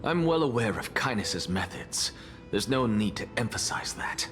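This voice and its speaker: An actor voicing a proud, sardonic male scholar in a video game, speaking in measured, formal, condescending phrases. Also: medium pitch, lively intonation, fairly bright tone, soft background music, natural voice. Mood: sardonic, dismissive. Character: proud, coolly sardonic male scholar professor, scornful of gods. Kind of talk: dramatic game voice acting.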